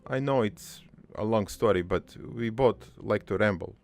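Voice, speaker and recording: A man voicing a middle-aged, foreign-born cartoon father who rambles through his life story in a deadpan, halting, improvised monologue with a gravelly voice. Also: on the low side. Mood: rambling, wry. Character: rambling, crude, wistful foreign-born immigrant father named Joey.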